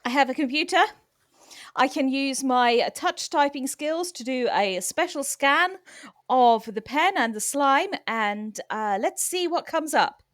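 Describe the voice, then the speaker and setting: High, animated woman improvising comedy. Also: a little slow. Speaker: performer in an improvised comedy audio drama. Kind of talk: improvised comic dialogue.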